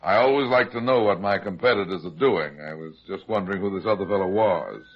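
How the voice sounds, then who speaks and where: An actor playing an unflappable, wry, heavyset private detective with an American accent in an old-time radio drama. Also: male voice, sits low, brisk, breathy edge. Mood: politely probing. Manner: deadpan, terse, sardonic. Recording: dull, lo-fi old radio recording.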